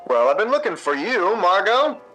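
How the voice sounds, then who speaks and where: An affable but uneasy playwright in a 1950s radio play, acted by a man. His voice is fairly high and very animated as he tries to placate. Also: moderate pace, lo-fi sound, faint music behind, exaggerated voice. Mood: placating. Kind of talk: 1950s radio drama acting.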